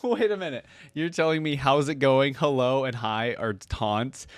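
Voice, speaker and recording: A man's voice: mid-range voice, normal speed, no room sound, studio quality. A man hosting a reaction video, giving casual, conversational commentary with brief interjections.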